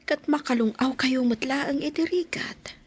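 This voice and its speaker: A woman playing a concerned older grandmother with a breathy voice, advising her family in a podcast drama. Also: high-pitched, measured pace.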